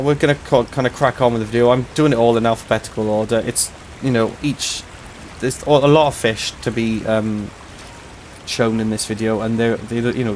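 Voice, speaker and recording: A man hosting a casual game walkthrough, his voice a little hoarse and tired, explaining things in a chatty, rambling way. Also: mid-range voice, slight background noise. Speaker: host of a gaming channel.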